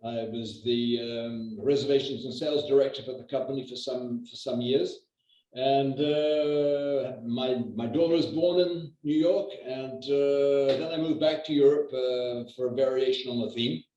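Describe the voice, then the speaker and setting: An older man from New Zealand, a real estate agent, talking in a measured way with lots of hesitations. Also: mid-range voice, steady tone, dark tone. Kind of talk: conversational interview. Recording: echoey distant muffled video call.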